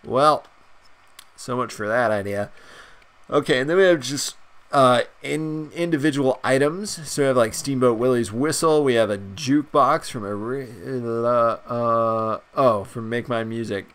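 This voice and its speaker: A man's voice: mid-range voice, unhurried. Stuffed-up young man narrating gameplay. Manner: excited, rambling, many fillers. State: stuffed up nose. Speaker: gaming channel host. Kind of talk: casual gameplay commentary.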